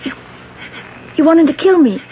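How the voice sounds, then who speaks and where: An intense, secretive woman, swinging dramatically in pitch. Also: expressive, normal speed, a little hiss or hum. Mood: shaken. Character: tense secretive woman hiding a killing from her past. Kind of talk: radio drama acting with confiding narration. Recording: dull lo-fi vintage radio, music behind.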